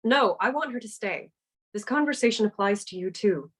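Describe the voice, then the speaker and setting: A woman's voice: medium pitch, lively intonation, moderate pace, breathy edge. A woman reading a hurt wife who feels shut out, accusing and wounded, at a script table read.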